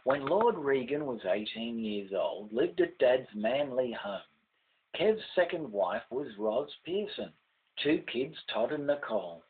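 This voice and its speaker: A man's voice: mid-range voice, very animated, measured pace, narrow, tinny audio, slight background noise. An older Australian man recites a rhymed ballad in a declamatory, sing-song way, letting his voice fall at the ends of lines. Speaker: poet reading own poem.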